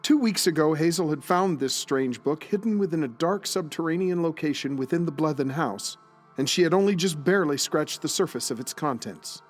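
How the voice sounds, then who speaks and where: A man's voice: a little high, animated, brisk, soft background music. A man reading scripted story narration as the narrator of an audio drama, in a solemn, literary storytelling manner.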